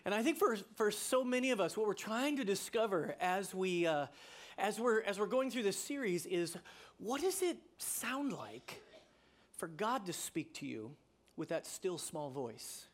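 A man, a pastor preaching a sermon, who tells stories with lively energy, his voice climbing when excited, and repeats key phrases for emphasis. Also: unhurried. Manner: animated storytelling, voice climbing high when excited.

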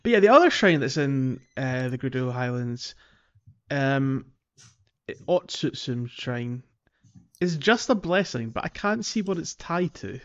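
A man's voice: mid-range voice, lively intonation, a little slow, highs cut off. A man co-hosting a casual gaming podcast, chatting in a jokey, teasing way. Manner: jokey, teasing banter with co-hosts. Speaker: podcast host.

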